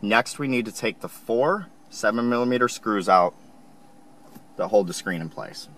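A calm, lowish male voice walks through a car installation one step at a time. He talks plainly and evenly. A faint hum of vehicle ambience sits behind him. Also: moderate pace. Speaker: installation instructor. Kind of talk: step-by-step how-to narration. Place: inside a car.

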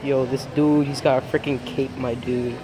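A man's voice: mid-range voice, little pitch movement, normal speed. A young man chatting casually and in boyish slang for a vlog, filming himself on a handheld phone in busy public places. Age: young adult. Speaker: vlogger. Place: busy public places, bowling alley and mall. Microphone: handheld phone at arm's length. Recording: loud music and crowd noise.

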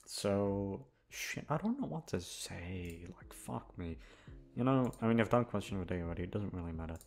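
A man's voice: low-pitched, very animated, normal speed. A man chatting casually to his listeners as an ASMR creator, rambling hesitantly with self-deprecating swearing. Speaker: ASMR audio creator and host.

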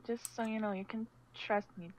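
A woman playing a friendly, anxious young survivor in a casual, expressive game roleplay style. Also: on the high side, moderate pace, close to an everyday voice. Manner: casual, expressive, hesitant repetitions. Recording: muffled, slightly noisy home mic.

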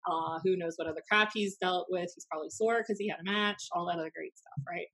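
An American woman in her thirties telling anecdotes casually, in a blunt, animated, sweary way, as a podcast host. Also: fairly low pitch, quick pace, rough recording. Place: small room at home, indoors. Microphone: desk podcast microphone on video call.

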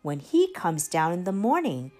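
A woman's voice: fairly low pitch, wildly swooping pitch, normal speed, darkish tone, faint music behind. A woman voicing a small, prim, scolding but kindly female fairy in a children's storybook.

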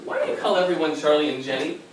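A fond young grandson played by a man remembers his grandfather on stage, patient and wry. His voice is high for a man. It comes from far off in a very reverberant small theater with faint noise. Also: lively intonation, racing, natural voice. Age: young adult. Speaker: stage actor and narrator. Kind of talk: memory play monologue and dialogue. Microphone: far audience camera. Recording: very echoey theater, distant camera, faint noise.

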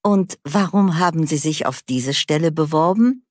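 A woman reading a low-voiced formal female interviewer. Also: normal speed, darkish tone, no echo, studio quality, natural voice. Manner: formal, polite. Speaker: interviewer at a language school. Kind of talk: scripted learner dialogue.

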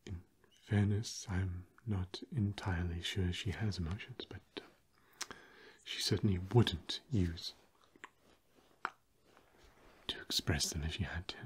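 A young man playing a fussy, old-fashioned vampire housemate in an ASMR roleplay, breathy and drily polite. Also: sits low, a little hiss or hum. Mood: dry, wistful. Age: young adult. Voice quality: breathy, husky. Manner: genteel, dry, measured.

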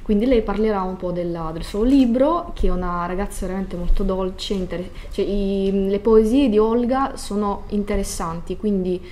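A woman's voice: medium pitch, normal speed, few pauses, dark tone, slight background noise. A woman in her thirties talking casually in a studio interview, hesitating as she searches for words. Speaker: guest event organiser. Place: small glass-walled broadcast studio. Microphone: studio desk microphone.

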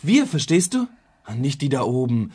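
An actor playing an earnest, indignant young activist in a radio play, urging action in a husky, idealistic way. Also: male voice, mid-range voice, big pitch swings, normal speed, darkish tone. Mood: urgent, determined. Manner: urgent, idealistic. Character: earnest, indignant young male activist rallying his school. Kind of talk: German radio play acting.

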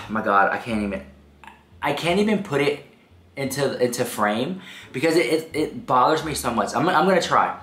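A man's voice: medium pitch, animated, measured pace, pauses often, a bit bright. A young man with a head cold talks straight to camera, his voice stuffed up and congested. He chatters sassily through celebrity gossip, calling his viewers "girl" again and again. The room has a noticeable echo around him. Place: indoor room, seated on a throne-like chair.

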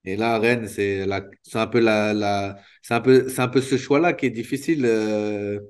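A man in his thirties, a former footballer, talking candidly in an interview over a video call, restarting sentences and filling pauses often. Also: fairly low pitch, fairly even intonation, smooth. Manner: spontaneous, halting, many restarts and fillers. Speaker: retired footballer guest. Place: small room indoors. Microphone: video call webcam.

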